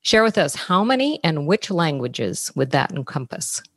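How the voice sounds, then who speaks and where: A woman with a low, steady voice hosts a business podcast. She reads through prepared introductions and figures in a measured way. The sound is dry and close, with no room around it. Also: no room sound. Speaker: podcast host.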